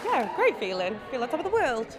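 A woman's voice: on the high side, very animated, brisk. A woman in her twenties giving earnest, articulate interview answers. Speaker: poet being interviewed. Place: indoor event space with display boards. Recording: crowd chatter behind the voice.

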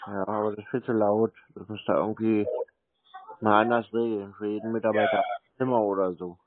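A man's voice: fairly low pitch, level tone, fairly quick. A man, stubborn and complaining in a casual, provocative phone conversation. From Germany. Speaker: prank call recipient baiting phone scammers. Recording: tinny, narrow phone line.

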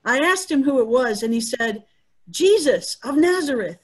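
Lady reading as a devout mom full of wonder, high voice, earnest, amateur bible play. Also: expressive, moderate pace, husky. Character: devout, wondering mother of a disciple. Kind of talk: amateur dramatic dialogue reading. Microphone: video call.

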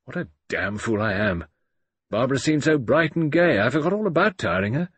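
A man voicing a bluff, sheepish, concerned English gentleman in an audiobook, hearty and apologetic. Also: medium pitch, very animated, brisk, breathy edge, no room sound, voice pushed up. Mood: contrite.

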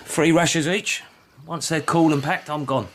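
An actor playing a brisk, cheeky, streetwise English army gunner in a radio play, colloquial and sardonic. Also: male voice, a little high, steady tone, natural voice. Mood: matter-of-fact. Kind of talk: naturalistic radio play acting. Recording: noisy outdoor ambience behind dialogue.

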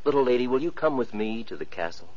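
A man's voice: medium pitch, animated, quick pace, a little mellow, close to an everyday voice. A man playing a gallant young prince, courtly and commanding, in a 1940s radio play. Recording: muffled old radio broadcast.

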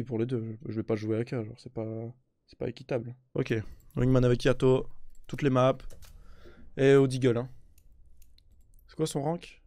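A young man streaming a shooter game on a headset mic, chatting excitably with his viewers and swearing often. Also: mid-range voice, no echo, clean studio sound. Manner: excitable, swears a lot, talks to chat. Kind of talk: live gaming stream commentary. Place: indoor room with a gaming chair.

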